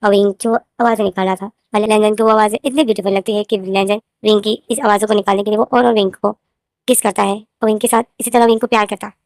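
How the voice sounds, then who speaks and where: Fast-talking woman with a sore throat. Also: mid-range voice, little pitch movement, dry recording, clean studio sound. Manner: rambling, repeats names and phrases, addresses viewers as guys. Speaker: fanfiction author and narrator. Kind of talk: casual spoken retelling of her own story.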